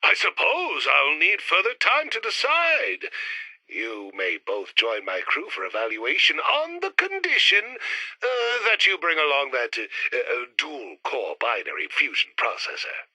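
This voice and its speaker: An actor playing a pompous, scheming would-be space emperor in a theatrical retro sci-fi radio play. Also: male voice, a little high, huge pitch swings, a little slow, sharp tone, dry recording, heavily acted, rough recording. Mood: calculating. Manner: pompous, theatrical, alliterative insults.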